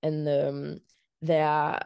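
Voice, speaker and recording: A woman from Belgium, a filmmaker, answering interview questions in a halting way with many fillers and restarts. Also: low voice, completely flat delivery, creaky, a little mellow, smooth, dry recording, clean studio sound.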